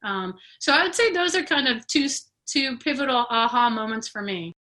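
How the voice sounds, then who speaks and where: A woman speaking reflectively as a panelist, earnest and deliberate in her phrasing. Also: a little high, lively intonation, pushed and bright.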